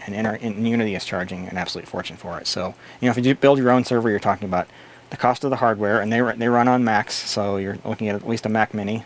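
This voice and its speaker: A man's voice: fairly low pitch, a little hiss or hum. A man hosting a casual tech livestream, answering chat questions and explaining things in a blunt, opinionated way.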